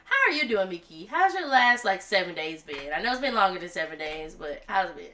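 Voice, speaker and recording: A woman co-hosting a relaxed podcast chat, stuffed up and a little nasal. Her voice is bright and ringing, and her pitch swings widely as she talks. She laughs easily and keeps the mood light. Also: a little fast, slight background noise. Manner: animated, laughs often. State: congested, a bit nasal. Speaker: podcast co-host. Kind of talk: casual chat.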